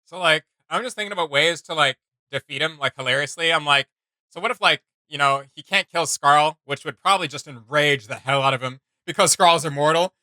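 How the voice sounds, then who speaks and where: Bright, casual male reaction host. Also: fairly high pitch, a little fast, no echo. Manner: giggly, animated, rambling. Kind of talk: casual chat, joking commentary.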